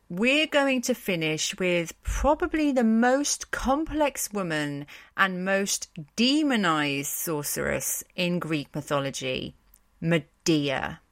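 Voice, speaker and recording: Female podcast narrator, unhurried, opinionated and wry, in a dry studio-like sound. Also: on the low side, fairly bright tone, dry recording. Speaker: podcast host. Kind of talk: informal scripted narration on mythology.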